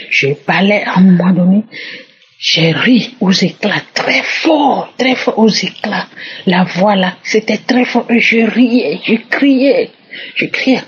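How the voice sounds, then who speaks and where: A woman's voice: medium pitch, measured pace, breathy edge. A woman giving an emphatic personal testimony, repeating her phrases, on a muffled recording.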